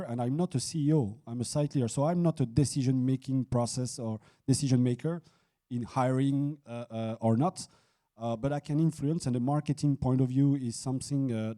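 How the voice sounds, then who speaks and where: A man speaking on a business conference panel as a site leader, halting, with many restarts and fillers. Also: mid-range voice, warm tone. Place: conference hall.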